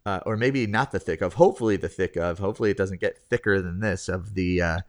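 A man with a fairly low voice hosts a podcast in loose, casual chat. He rambles, restarts his sentences and pokes fun at himself as he goes. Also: fast. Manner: rambling, self-deprecating, many restarts. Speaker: podcast host.

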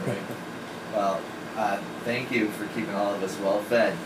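A man's voice: fairly low pitch, steady tone, moderate pace, very noisy. A man interviewing camp staff in a casual way, asking leading questions. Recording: echoey room from a distance.

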